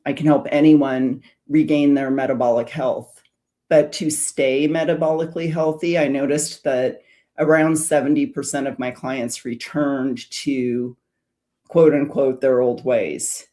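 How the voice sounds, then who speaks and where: A woman's voice: rumbling low, steady tone, a little slow, warm tone. Earnest woman guest telling her story. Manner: earnest, rambling, restarts often. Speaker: guest, food addiction recovery specialist. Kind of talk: interview, personal story.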